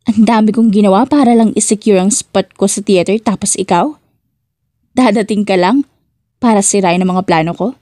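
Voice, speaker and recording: A woman's voice: mid-range voice, moderate pace, dark tone, no echo, clean studio sound, natural voice. A woman voicing a jealous female villain in an audiobook drama, speaking with controlled, bitter resentment.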